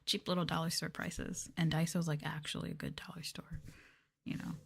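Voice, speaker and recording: A woman talking in a soft, breathy voice that often drops to whispers, playful and teasing, chatting casually as an ASMR host close to the mic. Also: low voice, level tone, a little fast. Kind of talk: soft-spoken ASMR, casual chat with viewers. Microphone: sensitive mic up close.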